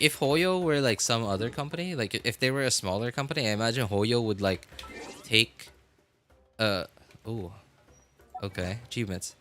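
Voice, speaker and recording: A man's voice: mid-range voice, sharp tone. A man chatting casually and at length as he livestreams a game. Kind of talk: casual rambling stream chat.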